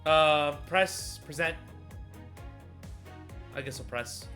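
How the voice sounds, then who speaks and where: A man's voice: a little high, slow, pushed and bright, faint music behind. A man chatting casually and offhandedly during a live dub.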